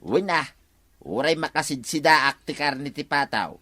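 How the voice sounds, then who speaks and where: A man's voice: fairly high pitch, lively intonation, normal speed, bright, forceful tone, no room sound. An actor playing a fussy, bantering village man in a Filipino radio comedy drama, with an Ilocano accent.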